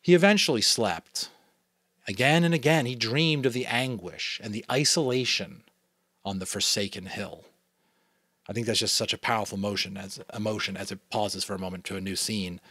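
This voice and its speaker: A man with a New England American accent giving an expressive dramatic reading of a novel, with chatty asides to listeners. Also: on the low side, normal speed, husky, no echo, clean studio sound. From New England, United States. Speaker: audiobook reader.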